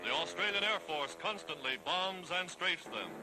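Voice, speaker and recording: A man's voice: high voice, lively intonation, moderate pace, heavy background noise. A man with an American accent narrating a 1940s newsreel in a formal, declamatory, emphatic manner on a vintage soundtrack.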